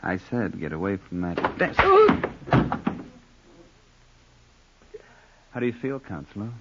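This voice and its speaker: A man's voice: sits low, lively intonation, a little fast, heavy background noise. An actor playing a cool, menacing hired gunman in 1940s radio style, smug, sly and mockingly polite. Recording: dull lo-fi old radio recording.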